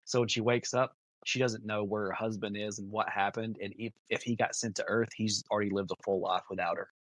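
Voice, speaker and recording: A man's voice: fairly low pitch, steady tone, a little fast, fairly bright tone, dry recording, poor audio. A man co-hosting a podcast, casually telling side anecdotes in a conversational way. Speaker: podcast co-host.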